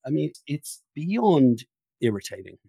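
A man's voice: on the high side, sing-song, a little slow, gravelly, mellow, husky, dry recording. A man hosting a podcast conversation, talking in an emphatic, opinionated way with some swearing. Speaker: podcast host.

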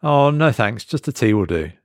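A man's voice: mid-range voice, sing-song, moderate pace, dry recording, studio quality, lightly acted. A man voicing a polite, hesitant travelling salesman who is out of place, in an audio horror story.

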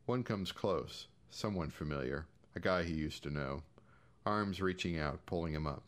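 A man's voice: low voice, moderate pace. An American man giving a scripted, audiobook-style narration of short fiction. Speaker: short story reader.

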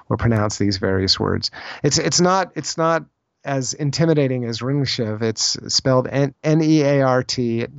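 A man talks in a dry, close, slightly dull lo-fi recording. He explains things as a guest in conversation, thoughtful and halting, often circling back over a phrase before finishing it. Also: medium pitch, no echo. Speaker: podcast guest, author on Celtic spirituality.

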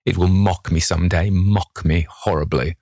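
A man's voice: low-pitched, fairly even intonation, measured pace, creaky, warm tone, no echo, clean studio sound, full character voice. A man voicing a vain, petulant young man in an impassioned, dramatic reading of a novel. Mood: jealous anguish. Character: petulant, anguished young man, vain about his beauty.

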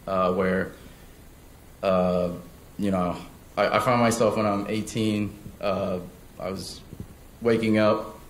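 A young man from South Korea shares his testimony, pausing often and starting his sentences over. His voice sits a little low. The room around him is echoey, with faint ambience behind it. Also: slight background noise. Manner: hesitant, many fillers and restarts. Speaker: church member giving testimony. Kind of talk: personal testimony. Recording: roomy sound with faint ambience.

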